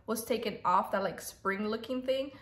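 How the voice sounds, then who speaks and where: A woman's voice: fairly high pitch, normal speed, a bit bright, roomy sound. A woman in her twenties chatting casually to camera, a little stuffy from a cold, rambling with fillers and restarts. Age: 20s. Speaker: vlogger.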